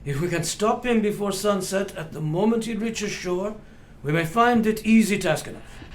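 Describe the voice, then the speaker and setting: An actor playing an earnest, commanding old professor in a radio play, with formal, deliberate, instructive speech. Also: male voice, high-pitched, slight background noise, close to an everyday voice. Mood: resolute, instructive. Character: earnest, commanding old male professor leading vampire hunters.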